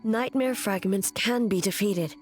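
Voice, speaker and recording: An actress playing a grave, resolute immortal warrior ruler in a video game, speaking in a formal, measured way. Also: female voice, medium pitch, lightly acted. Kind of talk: scripted video game voice acting. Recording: music under the voice. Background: game music.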